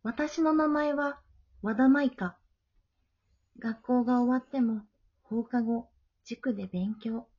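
A woman playing a gentle, studious middle-school girl in an amateur voice drama, friendly and polite. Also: a little high, slow pace, pauses often, husky, dull audio. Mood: reflective.

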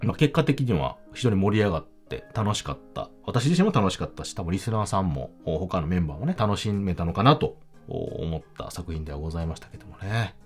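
A man with a Kansai accent hosting a casual solo talk podcast, chatting in an animated, emphatic way. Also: low voice, fairly quick, few pauses. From Kansai, Japan. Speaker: podcast host. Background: background music.

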